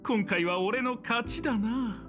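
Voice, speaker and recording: A man's voice: very high-pitched, a little fast, music in the background. An actor playing a talkative, over-the-top middle-aged father and proud scholar in a game. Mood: gleeful, smug. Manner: over-the-top, chatty, self-assured. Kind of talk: Japanese game voice acting.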